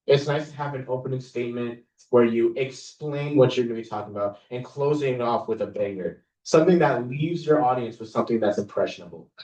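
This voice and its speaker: Young man lecturing in a roomy hall. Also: darkish tone. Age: young adult. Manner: casual, confident, deliberately lowered authoritative tone. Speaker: student club speaker. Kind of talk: informal talk on public speaking to a student club. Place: classroom or meeting room. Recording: roomy, distant mic, dull sound.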